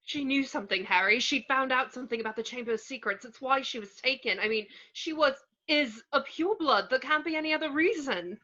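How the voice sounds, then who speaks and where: A woman's voice: sits high, fairly quick, hardly any pauses, pushed and bright, dull audio. A woman playing an earnest, anxious schoolboy wizard in an amateur script read. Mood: upset.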